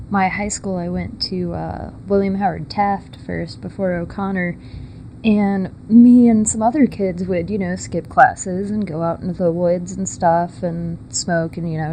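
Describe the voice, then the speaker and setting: A woman's voice: on the low side, dark tone, a little hiss or hum, rough recording. Chatty young woman. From Texas. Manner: chatty, laughs often, loose storytelling with fillers. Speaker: guest. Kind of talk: casual interview.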